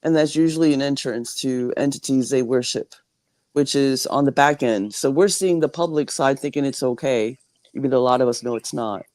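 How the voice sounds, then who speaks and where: A man with a hoarse voice, recovering from the flu, calling into an online chat and explaining things earnestly. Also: medium pitch, normal speed, darkish tone, dry recording. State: hoarse, getting over the flu. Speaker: caller on a talk show. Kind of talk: casual chat. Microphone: online voice call.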